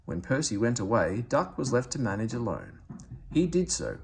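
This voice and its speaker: A man reading a children's story aloud as narrator, with expressive delivery. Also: mid-range voice, moderate pace, creaky, slight background noise. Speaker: storybook reader.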